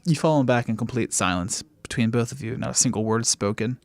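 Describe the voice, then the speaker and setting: A man serves as game master for a tabletop game podcast. He improvises scenes in a conversational, mid-pitched voice, recorded close and dry. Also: fast, a little mellow, no echo.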